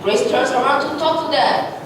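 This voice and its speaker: A woman with a high voice reads scripted narration for a reader's theatre piece. She is recorded from a distance in a very echoey room, with clear noise behind her. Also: normal speed.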